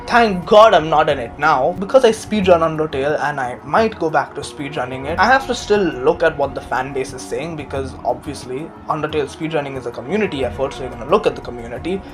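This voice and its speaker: A man giving a casual, opinionated commentary in a rambling, emphatic way with jokey asides. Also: on the high side. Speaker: video commentator.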